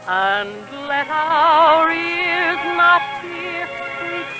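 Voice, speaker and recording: A woman singing a slow, sentimental 1940s song as featured vocalist on a radio broadcast. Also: very high voice, fairly even intonation, over music, low-quality recording. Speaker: featured singer.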